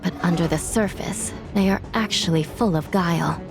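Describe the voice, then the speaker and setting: An actress playing a stern, analytical official in a video game, with a measured, formal, resolute delivery. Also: female voice, fairly low pitch, vocal fry. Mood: grave, resolute. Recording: loud music behind.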